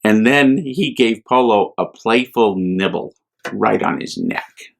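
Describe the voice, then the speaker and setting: Slow-paced American man reading to children. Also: lowish voice, creaky. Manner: unhurried, expressive. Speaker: priest hosting a children's storytime. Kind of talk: gentle read-aloud storytelling for children.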